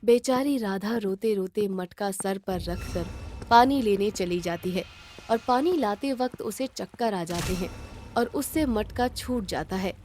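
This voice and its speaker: A woman's voice: medium pitch, a little slow, a little mellow, a little hiss or hum. A woman narrator reading a scripted moral story.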